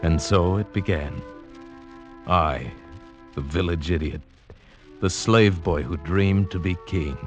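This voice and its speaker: Lovestruck dreamer, very deep voice, earnest and poetic, old-time radio drama, music underneath. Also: male voice, slow, long pauses, lo-fi sound. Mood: wistful narrating. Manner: earnest, poetic, theatrical delivery. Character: lovestruck sighted outsider man, village slave, earnest and dreamy. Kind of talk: 1940s-50s radio drama acting, with first-person narration.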